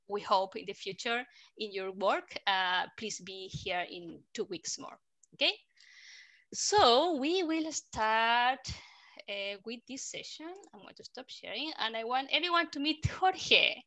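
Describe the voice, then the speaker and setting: Woman host, bright voice, halting with many fillers, headset mic. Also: a little high, expressive. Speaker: host of a design community program. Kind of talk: hosting a video call session. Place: home room indoors. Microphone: headset mic on a video call.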